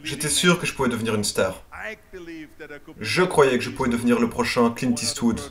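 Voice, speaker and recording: A man's voice: mid-range voice, normal speed, a bit bright. A man reading a first-person motivational speech as a dubbed voice-over, in an earnest and emphatic way. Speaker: voice-over translator.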